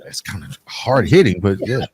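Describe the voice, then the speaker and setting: A man's voice: lowish voice, big pitch swings, normal speed, crowd noise. A man hosting a podcast interview in a casual way, with short affirmations and conversational questions. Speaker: podcast host.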